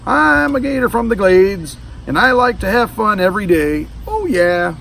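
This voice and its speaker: A man's voice: high voice, very animated, normal speed, gravelly, a bit bright, pitched above the performer's own voice, heavily acted. A man voicing a bold, boisterous girl alligator from a storybook, dramatic and easily offended. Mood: singing, boastful. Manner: exclamatory, dramatic. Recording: distant mic with faint background noise.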